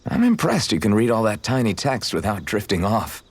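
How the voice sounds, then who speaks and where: Husky, earnest young swordsman. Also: on the low side, big pitch swings, moderate pace. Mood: friendly, teasing. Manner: earnest, gentle, awkward when flustered. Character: earnest, easily flustered young male swordsman adventurer. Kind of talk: video game cutscene acting.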